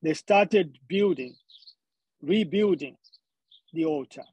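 A man's voice: fairly high pitch, takes it slowly, a little mellow, slightly rough. A man from Zambia preaching a sermon, often repeating words as he searches for the next phrase.

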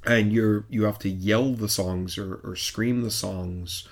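A man with a lowish voice talks in a relaxed, rambling way. He restarts his sentences often and leans on fillers, like a regular talking shop on a casual podcast. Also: takes it slowly, creaky. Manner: easygoing, loose, with many restarts and fillers. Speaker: supporters' group capo.

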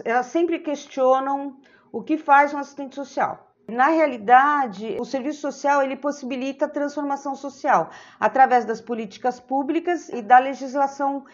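A woman tells her story as a social worker. Her voice sits high, and she speaks earnestly, often checking in with a "né?". The recording is muffled and lo-fi. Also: moderate pace. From São Paulo, Brazil. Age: 60s. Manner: earnest, with frequent "né?" tags and small restarts. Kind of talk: interview testimony. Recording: dull lo-fi sound.

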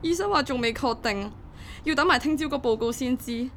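A woman's voice: sits high, brisk, a bit bright, a little hiss or hum. A woman acting a burdened, devoted young single mother in a radio drama, emotive and confiding, with a Hong Kong Cantonese accent. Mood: distraught.

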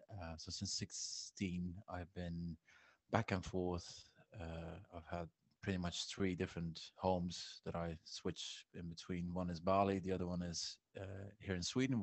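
Very deep-voiced Belgian man in his forties. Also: no room sound. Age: 40s. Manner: friendly and forthcoming, with frequent "uh" fillers. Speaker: guest. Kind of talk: casual interview talk.

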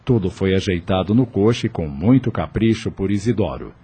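Deep, expressive male radio drama narrator. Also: normal speed, breathy edge.

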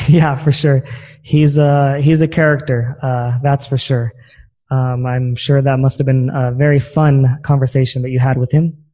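Man, interview host, very soft-edged dark tone, mid pitch, casual conversational delivery. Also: level tone, measured pace.

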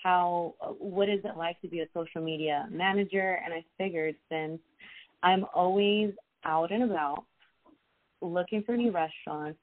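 Young woman on a tinny phone line. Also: on the low side. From Los Angeles, USA. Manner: chatty, many fillers and restarts. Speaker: podcast guest, social media creator. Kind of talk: casual interview chat.

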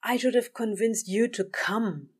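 A woman voicing a weary, dramatic male demon in an audio story, with drawn-out, exasperated grumbling. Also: fairly high pitch, husky. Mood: frustrated.

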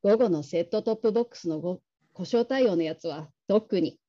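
A woman playing a polite, caring call-centre manager in a radio drama, gentle and measured, on slightly dull audio. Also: fairly low pitch, lightly acted. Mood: earnest, measured.

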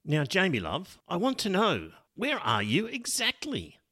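A gruff, authoritative father in middle age, voiced by a male narrator. He asks his questions calmly and firmly, with a slightly raised voice that rises and falls a great deal. It is a storybook reading. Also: high voice, voice pushed up, full character voice. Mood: stern. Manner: calm, firm, measured questioning.

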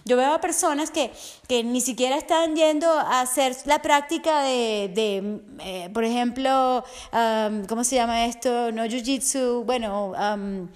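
Slightly hoarse woman, earnest coach. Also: a little high, a little slow. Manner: earnest, heartfelt, often checks in with the listener. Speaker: resilience and fitness coach. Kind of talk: motivational podcast monologue.

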